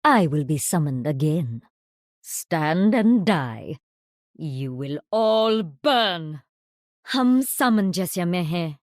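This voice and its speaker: A woman with an Indian accent playing a composed, commanding mystic summoner in a video game, speaking in a measured, formal, imperious way. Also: on the low side, animated, a little mellow, no echo, studio quality. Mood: imperious, ominous.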